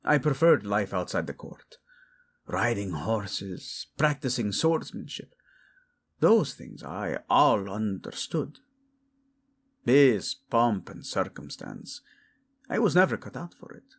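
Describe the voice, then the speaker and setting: A man's voice: lowish voice, very animated, vocal fry, slightly rough, heavily acted. A man playing a devoted, hot-tempered yet tender king, speaking intimately and teasingly in a romantic audio roleplay. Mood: wistful, confiding. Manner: soft, intimate, teasing, halting.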